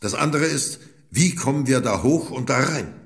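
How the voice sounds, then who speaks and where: An actor playing an authoritative older military commander in an audio drama, firm and deliberate. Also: male voice, mid-range voice, lively intonation, lightly acted. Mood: firm, strategic.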